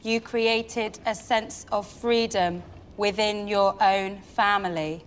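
Thoughtful film woman, played by a woman, measured, very slow delivery, slight background rumble. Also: steady tone, lightly acted. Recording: faint rumbling background noise.

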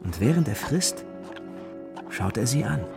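A man narrating a German children's radio play as its storyteller, in short, measured storybook phrases. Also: medium pitch, sing-song, gravelly, music in the background. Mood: suspenseful. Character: male storyteller of a children's forest adventure.

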